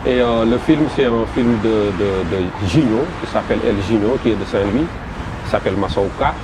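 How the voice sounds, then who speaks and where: A man with a Senegalese French accent, a festival promoter describing his event in an interview, halting and repeating words as he goes. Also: on the low side. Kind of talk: interview answers. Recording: noisy background.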